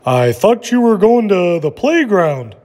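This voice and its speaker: A man reading a storybook aloud, playing a calm father who gently questions and encourages his young son. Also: high-pitched, a little slow, pitched above the performer's own voice. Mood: encouraging. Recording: echoey room with long reverb.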